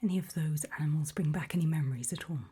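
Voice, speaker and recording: A woman playing a calm, reassuring doctor in an ASMR roleplay, speaking in a gentle breathy whisper. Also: deep voice, racing. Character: calm reassuring female doctor running a creepy memory test.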